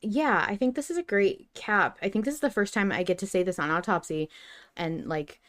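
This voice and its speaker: Clear-voiced woman chatting as podcast host. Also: mid-range voice, brisk, fairly bright tone. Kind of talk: casual discussion of a fiction podcast.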